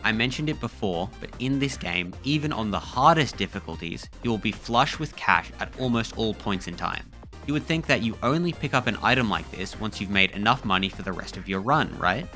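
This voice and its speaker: Bright-voiced man narrating a video essay. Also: on the low side, animated, faint music behind. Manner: measured, reflective, reading from a script.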